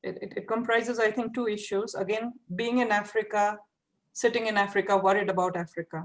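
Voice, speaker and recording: Woman asking halting questions on a webinar. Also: medium pitch, fairly even intonation, low-quality recording. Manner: halting, repeats words while searching for phrasing. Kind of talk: webinar discussion. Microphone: video call.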